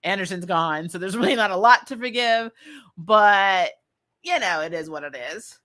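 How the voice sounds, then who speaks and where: A woman giving casual, opinionated commentary as a show host, chatty and animated, with blunt, slangy phrasing. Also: medium pitch, moderate pace.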